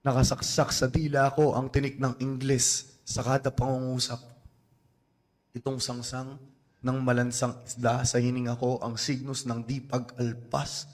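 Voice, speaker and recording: A man from the Philippines with a Filipino accent, performing spoken word poetry live in a small cafe, with slow, deliberate phrasing and pauses. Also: medium pitch, little pitch movement.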